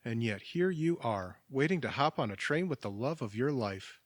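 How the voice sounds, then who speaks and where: A man acting in audio theatre as a kindly, patient stranger, speaking in a gentle, reassuring manner. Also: medium pitch, lively intonation, normal speed, no echo, studio quality, close to an everyday voice. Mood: wistful, tender. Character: kindly, patient male stranger waiting for a train.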